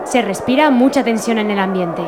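A woman's voice: on the high side, normal speed. A woman from narrating a scripted radio drama. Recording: narration over crowd noise.

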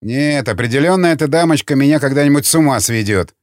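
A man's voice: mid-range voice, lively intonation, fast, no room sound, studio quality, voice pushed up, close to an everyday voice. A man voicing a sardonic, needling councilman in an audiobook, with a mocking and theatrical manner. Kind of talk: audiobook character acting.

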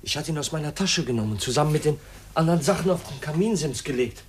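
A man's voice: fairly high pitch, expressive, quick pace, slight background noise, lightly acted. An actor in a 1950s German radio play, playing an earnest, suspicious young man who is polite but insistent and brooding. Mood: anxious, suspicious. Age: young adult.

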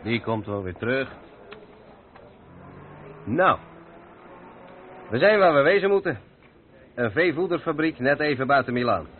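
Actor as a grumbling, bossy trucker, tinny old recording. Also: male voice, mid-range voice, lively intonation, a little slow, pauses often, close to an everyday voice. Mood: brisk, hearty. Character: seasoned truck driver, bossy, grumbling but good-natured older colleague. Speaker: actor in a radio play. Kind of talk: Dutch radio drama acting. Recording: narrow phone-like old radio recording, noisy.

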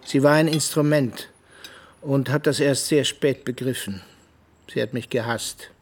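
An actor playing a guarded, terse middle-aged former assassin in a radio drama, reserved and matter-of-fact. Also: male voice, mid-range voice, measured pace.

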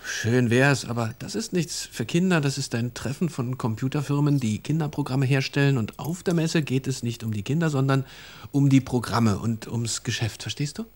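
A man's voice: medium pitch, moderate pace, natural voice. Guy acting as confident preoccupied dad, normal mid voice, plain delivery, radio play. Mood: dismissive. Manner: matter-of-fact, preoccupied. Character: busy, self-confident father, computer game designer. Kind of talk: naturalistic radio drama acting.

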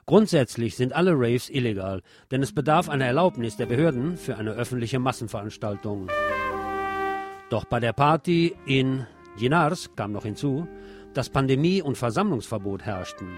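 A man's voice: medium pitch, animated, normal speed, soft background music. A man presenting scripted radio news commentary, with opinionated, wry asides.

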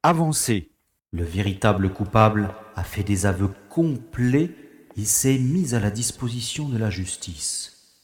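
An actor playing a fussy, affable prison director in an audio drama, speaking formally with courteous condescension. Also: male voice, on the low side, lively intonation, a little slow, husky. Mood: excited. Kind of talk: French audio drama acting.

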